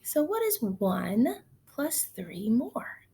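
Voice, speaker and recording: A woman with a fairly high, very animated voice leads a lesson for young children. She speaks slowly, stretching words in a sing-song way, and often puts encouraging questions to her listeners. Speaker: early childhood teacher. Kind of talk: children's lesson with counting, chants and simple stories.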